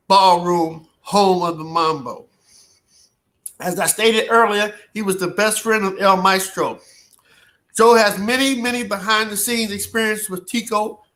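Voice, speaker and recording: A man's voice: high-pitched, sharp tone. A man hosting a talk show, formally introducing his guest before handing over. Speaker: show host.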